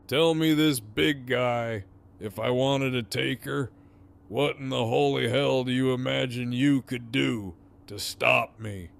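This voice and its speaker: An actor playing a menacing, foul-mouthed gang leader in an audio drama, with a taunting, theatrical, drawn-out delivery. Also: male voice, mid-range voice, fairly even intonation, gravelly. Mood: smug threatening.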